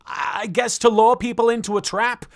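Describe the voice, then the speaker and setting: A man's voice: extremely high pitch, steady tone, normal speed. A man hosting a comic recap, narrating from a script in a wry, sarcastic way. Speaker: host of an anime recap series.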